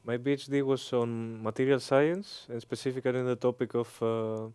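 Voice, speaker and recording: A man in his thirties with a Greek accent, answering interview questions with many hesitant pauses and fillers. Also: medium pitch, fairly even intonation, a little mellow, clear, resonant tone, dry recording. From Greece. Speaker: interview guest, engineering professor.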